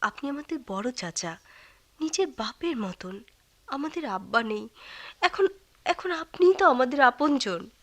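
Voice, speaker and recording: An actress playing a firm, proud young orphaned daughter in a radio play, earnest and reproachful. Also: female voice, high-pitched, big pitch swings, measured pace, long pauses, full character voice. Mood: hurt, pleading. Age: young adult. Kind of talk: Bengali radio play acting.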